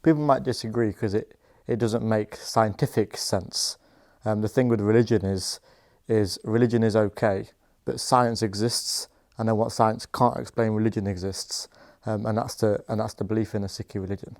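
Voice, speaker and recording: A man's voice: lowish voice, steady tone, normal speed, a little mellow, dry recording, clean studio sound. A man in his twenties, a teacher, answering documentary interview questions hesitantly, restarting his sentences. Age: 20s.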